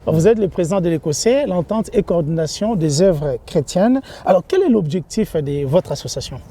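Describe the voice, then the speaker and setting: A man's voice: sits high, lively intonation, moderate pace, mellow, husky. A man interviewing a church leader and introducing segments. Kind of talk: TV interview questions.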